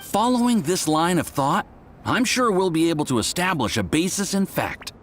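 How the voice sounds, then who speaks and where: A man's voice: high voice, big pitch swings, normal speed, a bit bright, slight background noise. A man playing an eager young research assistant in a video game, earnest, bookish and politely deferential. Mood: eager, thoughtful. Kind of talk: video game character acting.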